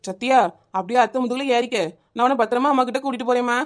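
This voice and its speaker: A woman playing a brave young village aunt who talks quickly and reassuringly in an amateur audio drama. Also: fairly high pitch, steady tone, lightly acted. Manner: reassuring, brisk, fast-talking.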